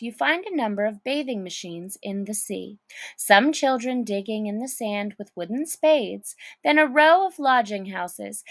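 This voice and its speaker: A woman narrating a children's story in an expressive, dramatic reading style. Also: fairly high pitch, dry recording.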